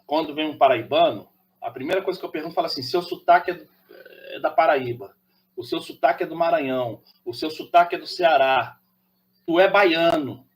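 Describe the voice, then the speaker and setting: Man, Carioca accent, slightly high voice, preachy, rhetorical questions. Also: a little fast. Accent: Carioca Brazilian Portuguese. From Rio de Janeiro, Brazil. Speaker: channel host. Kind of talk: opinionated monologue to camera.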